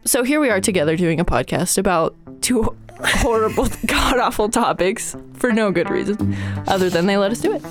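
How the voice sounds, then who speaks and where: A woman in her twenties co-hosting a podcast in casual chat, self-mocking and quick to laugh. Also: medium pitch, animated, over music. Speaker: podcast co-host.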